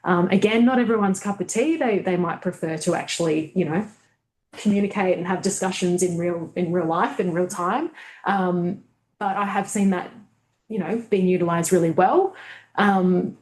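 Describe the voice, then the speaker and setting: A woman speaks on a webinar call in a fairly low voice. She is reflective and hunts for her words. The room around her is audibly echoey. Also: moderate pace, a little mellow. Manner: thoughtful, many fillers and restarts. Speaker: webinar guest presenter. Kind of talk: casual webinar conversation.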